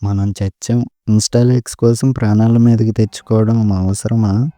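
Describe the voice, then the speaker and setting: A man's voice: on the low side, level tone, moderate pace, mellow, no room sound, studio quality, natural voice. A man playing a young guy on a haunted-house dare in a horror cartoon, colloquial and urgent. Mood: nervous. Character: two young male friends on a haunted-house dare, jittery. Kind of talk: horror cartoon story dialogue. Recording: music behind the voice.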